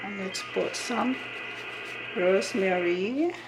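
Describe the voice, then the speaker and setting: A woman's voice: mid-range voice, takes it slowly, noisy background. A woman hosting a home cooking vlog, talking casually to viewers in short, simple phrases. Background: background music.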